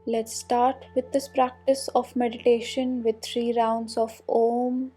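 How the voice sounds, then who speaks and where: A woman guides a meditation at an extremely slow pace, leaving long pauses between instructions. Her voice sits a little high yet stays mellow and relaxed. The delivery is calm and soothing throughout. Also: breathy edge. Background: soft meditation music.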